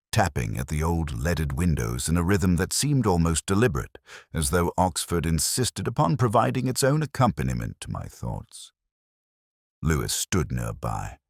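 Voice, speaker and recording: A man narrating a first-person detective story in a measured, literary and brooding manner. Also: rumbling low, no echo, studio quality.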